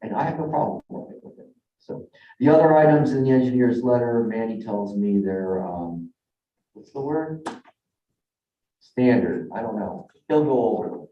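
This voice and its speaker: A man presenting a project to a zoning board in a halting, informal way with many fillers. He is tired and losing his voice. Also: medium pitch, expressive. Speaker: developer's representative presenting a project. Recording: dull, echoey council chamber audio, mic at a distance.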